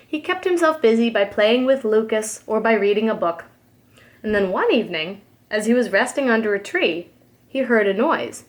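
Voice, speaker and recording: A woman preschool teacher reading a storybook aloud expressively for young children. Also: mid-range voice. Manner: expressive, addresses young listeners.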